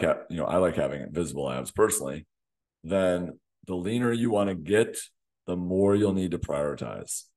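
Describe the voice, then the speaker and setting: A man in his thirties, a fitness coach hosting a solo podcast, slightly hoarse from losing his voice, talking in a direct coaching way in a small home gym on a desk mic. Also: low voice, darkish tone. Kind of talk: solo podcast talk to camera. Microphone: desk condenser mic in front of him.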